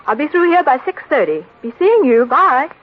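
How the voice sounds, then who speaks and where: Old muffled radio ad, chipper young secretary, highish, bouncy pitch. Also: female voice, sing-song, moderate pace. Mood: bright, chipper. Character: cheerful, peppy young office secretary. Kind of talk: 1940s radio advert acting. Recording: dull old radio recording.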